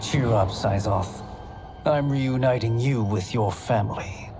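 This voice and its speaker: Man playing a sorcerer villain, mocking, slow, smooth, loud music behind. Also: mid-range voice, natural voice. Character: scheming male sorcerer villain, cold and mocking.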